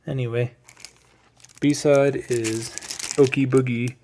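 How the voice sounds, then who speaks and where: A man's voice: mid-range voice, fairly even intonation, drawn-out words, a little mellow, a little hiss or hum. A man giving a casual, unscripted show-and-tell as a collector, rambling along with hesitant asides. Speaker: record collector presenting a collection.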